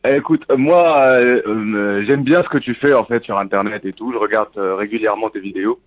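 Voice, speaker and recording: A man from Tunisia, a native Arabic speaker, calling in to a live talk stream and talking earnestly with frequent restarts. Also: on the low side. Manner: earnest, halting with many restarts. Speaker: caller. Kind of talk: call-in talk. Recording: dull lo-fi phone call audio.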